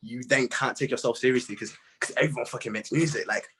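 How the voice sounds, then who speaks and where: A young man chatting casually in an interview, telling stories in an animated, slangy way with plenty of "bro" and "man". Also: mid-range voice, fast talker, slightly rough. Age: young adult. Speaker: music artist being interviewed. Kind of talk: casual chat.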